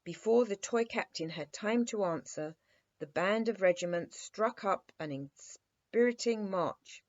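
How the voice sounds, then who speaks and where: A woman's voice: medium pitch, lively intonation, measured pace, dry recording, lo-fi sound. A woman storyteller narrating a children's bedtime story expressively.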